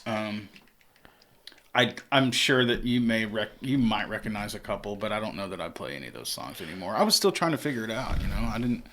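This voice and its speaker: A man's voice: fairly low pitch, animated, fairly quick, fairly bright tone, a little hiss or hum. A man in his forties, a singer-songwriter guest, telling stories in a candid, rambling way. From Texas. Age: 40s. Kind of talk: casual interview chat.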